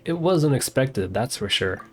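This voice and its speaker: A woman's voice: a bass voice, expressive, moderate pace, crowd noise. A woman giving casual live commentary while streaming a video game.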